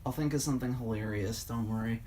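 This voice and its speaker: A man's voice: medium pitch, fast talker, warm tone. Laid-back man in casual conversation, mid-range voice, informal and loose delivery. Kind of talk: casual chat. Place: indoors at home.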